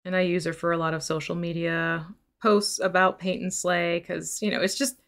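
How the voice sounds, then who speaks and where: A woman's voice: lowish voice, normal speed. Young woman walking through a paint tutorial, easygoing, explains steps, home stream. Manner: relaxed explaining, laughs easily. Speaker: painting teacher. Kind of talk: casual livestream tutorial. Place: indoor home room.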